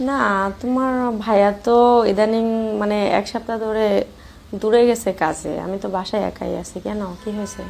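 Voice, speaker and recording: A woman's voice: medium pitch, moderate pace, a little mellow, lightly acted. A woman with a Bangladeshi accent playing a flirtatious, lonely married sister-in-law in an amateur romantic phone-call audio drama. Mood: coy, pleased. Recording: clear background noise.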